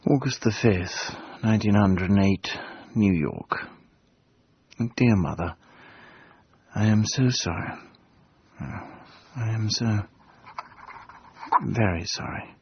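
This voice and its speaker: An actor playing a pompous, grandiloquent English gentleman adventurer with an English accent, in a radio drama. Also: lowish voice, slow, pauses often, lo-fi sound, slight background noise. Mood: subdued, grieving. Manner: grandiloquent, declamatory.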